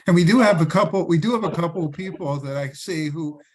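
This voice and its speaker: Philly guy hosting a video call panel, kinda high voice, lots of uhs and restarts. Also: a little mellow. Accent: Philadelphia. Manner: chatty, quick restarts with many fillers. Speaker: host. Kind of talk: online panel discussion.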